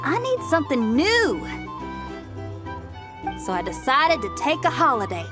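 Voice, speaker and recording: Game role: breezy rebel rock girl, energetic slang, bouncy high delivery. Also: a little slow, full character voice. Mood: upbeat. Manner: slangy, energetic, laid-back talk. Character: upbeat young woman rock musician, rebellious and breezy. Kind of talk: video game character dialogue. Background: game music.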